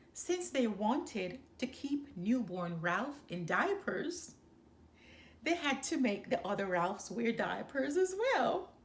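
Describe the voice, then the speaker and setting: A woman storyteller reads a picture book with very lively, swooping intonation. Her voice sits fairly high and she takes her time over each phrase. The room adds a clear echo. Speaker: storyteller reading aloud. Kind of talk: expressive children's picture book read-aloud. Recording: roomy, echoing sound.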